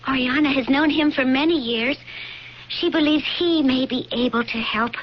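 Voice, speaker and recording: Woman playing an earnest, high-voiced young girl. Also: normal speed, close to an everyday voice. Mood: urgent. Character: earnest, self-possessed blind young servant girl of Pompeii. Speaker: actor in radio drama. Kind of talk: theatrical radio drama acting. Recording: noisy, muffled old radio broadcast.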